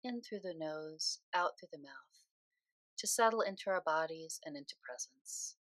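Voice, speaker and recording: A woman leading a guided meditation in a soothing, unhurried way, speaking phrase by phrase with pauses. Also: deep voice, animated, fairly bright tone, no room sound, poor audio.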